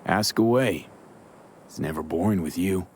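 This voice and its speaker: Deep-voiced, wry American mercenary. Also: male voice, a little slow, darkish tone, a little hiss or hum. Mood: playful. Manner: dry, casual, teasing. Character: laid-back, wry young male mercenary. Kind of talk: video game dialogue acting.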